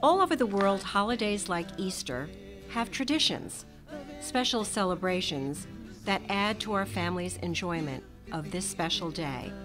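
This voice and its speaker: A woman's voice: medium pitch, music in the background. Woman reading a children's book aloud. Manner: gentle, expressive, slow and lively. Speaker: storybook reader.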